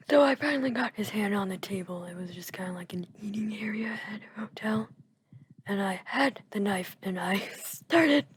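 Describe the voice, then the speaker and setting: A woman's voice: medium pitch, slight background noise. A woman whispering casually while she plays a video game, rambling and musing as she goes. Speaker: video creator. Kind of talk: whispered gameplay chat.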